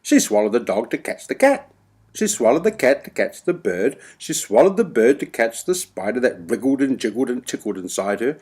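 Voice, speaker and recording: A man's voice: medium pitch, very animated, moderate pace, darkish tone, slightly rough. A man reciting a rhythmic nursery rhyme as a storyteller, playfully dramatic in his emphasis.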